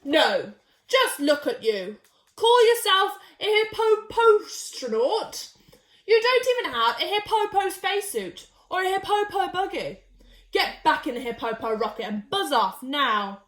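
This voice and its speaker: A woman's voice: extremely high pitch, big pitch swings, a little slow, fairly bright tone, roomy sound, full character voice. A woman voicing a pompous, bossy male hippo astronaut who boasts and scorns in a children's storybook. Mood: boastful, scornful.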